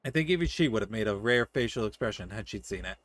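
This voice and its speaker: A man voicing a composed, formal alchemist from a fantasy game, in a measured, solemn manner, reading the dialogue aloud. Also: on the low side, expressive, pitched below the performer's own voice, natural voice. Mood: composed, pleased. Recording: music behind the voice.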